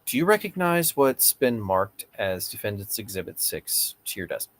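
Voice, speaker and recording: A man's voice: fairly low pitch, sing-song, normal speed. A man playing a persistent, formal lawyer questioning an evasive witness in a read-aloud deposition. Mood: procedural.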